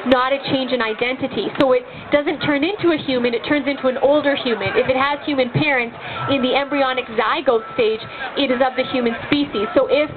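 A woman debating at an outdoor campus open mic, pressing her point with rhetorical questions. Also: high-pitched. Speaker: pro-life speaker at open mic. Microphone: handheld microphone through speaker. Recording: tinny lo-fi with loud background noise.

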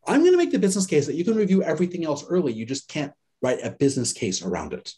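A man lecturing to an online class, racing through his points with big swings in pitch. His voice is warm and dark, and he slips into the voices of the people in his stories. The sound is that of a webcam on a video call. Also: very fast. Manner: animated storyteller, acts out quoted people. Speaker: course instructor. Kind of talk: lively conversational lecture.

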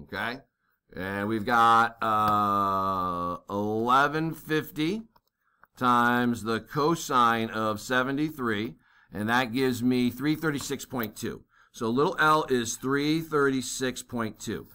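A man works through a navigation calculation as a lesson, talking over his own writing. His voice is bright and a bit tense. He thinks aloud, with many fillers and quick "okay?" checks. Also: mid-range voice, clean tone. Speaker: navigation instructor. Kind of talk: worked-problem math lesson.

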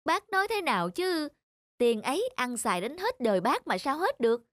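A man voicing a brash, spendthrift younger brother in an animated cartoon, middle-aged, scoffing and whiny. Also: extremely high pitch, normal speed, no echo, clean studio sound, full character voice. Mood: scornful, boastful.

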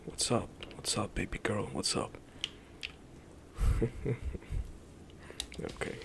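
A young man whispering ASMR commentary while playing a game, soft and hushed with sudden loud outbursts, into a desk mic in a small room. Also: lowish voice, animated, slow, gravelly, a little hiss or hum. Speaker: gaming streamer. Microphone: headset and desk mic, webcam in corner.

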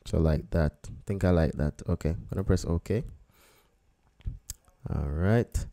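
Low-voiced man thinking aloud calmly. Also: measured pace, creaky. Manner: relaxed, thinking aloud while working. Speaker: photographer teaching photo editing. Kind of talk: screen-recorded software tutorial.

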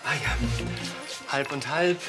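A man's voice: mid-range voice, drawn-out words, reverberant, over music. An actor playing a flustered, servile man who waits on tables as a Roman slave in a TV comedy-drama. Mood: flustered, obliging. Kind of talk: scripted TV comedy-drama dialogue.

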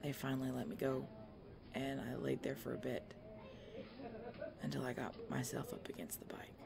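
A woman playing a tough, weary, injured biker girlfriend in an ASMR roleplay audio drama, speaking haltingly and protective of her boyfriend. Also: a bass voice, level tone, vocal fry, crowd noise, heavily acted. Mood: weary, pained.

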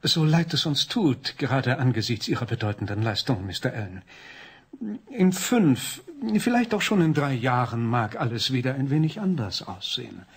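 A polite bank official, formal and regretful, with a slightly husky voice. His intonation moves in a lively way. A radio-play figure. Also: mid-range voice, normal speed. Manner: smooth, formal.

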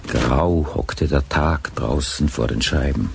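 A man with a gravelly, husky voice narrating a detective radio drama as a scripted storyteller. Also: a bass voice, slow, noisy background, exaggerated voice. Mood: measured.

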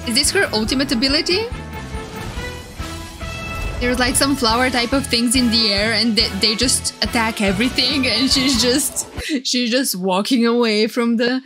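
A woman's voice: fairly high pitch, moderate pace. A woman streamer giving casual, gushing, exclamatory reaction commentary. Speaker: VTuber streamer. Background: game trailer music.

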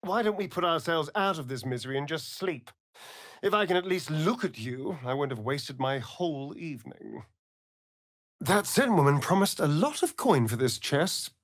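A man's voice: a little high, animated, normal speed, no room sound, clean studio sound, close to an everyday voice. A man with a British accent voicing a vain, sardonic, seductive vampire rogue in a fantasy video game, with an arch, flamboyant, mocking manner. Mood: smug, seductive.

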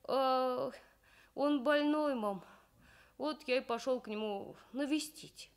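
A woman voicing an evasive young schoolboy in a radio story, halting and stumbling over words. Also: sits high, clear, resonant tone. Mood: soothing, evasive. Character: schoolboy Kostik, evasive, stammering young fibber.

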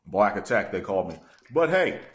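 A young man with a fairly low voice plays prank caller at a table in a small room. He talks in slang, repeats himself and keeps pushing. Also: very animated, normal speed. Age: young adult. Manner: pushy, repetitive, swearing. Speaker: prank caller, video host. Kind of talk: prank phone call, slangy. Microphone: camera across the table.

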